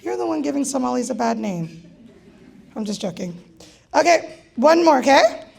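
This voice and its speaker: A woman with a raspy, gravelly voice pitched fairly high. She emcees a live gala and calls out raffle numbers, teasing the crowd with playful jokes between draws. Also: very animated, moderate pace, darkish tone. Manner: playful, teasing, jokey asides. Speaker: event host calling raffle draws.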